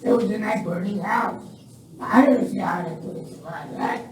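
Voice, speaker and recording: A woman's voice: mid-range voice, moderate pace, close to an everyday voice. A woman playing a bumbling, flustered henchman in a Western radio play. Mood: flustered, defensive. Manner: stammering, flustered. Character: bumbling, nervous henchman outlaw, flustered by the boss. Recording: echoey hall, distant mic, faint noise.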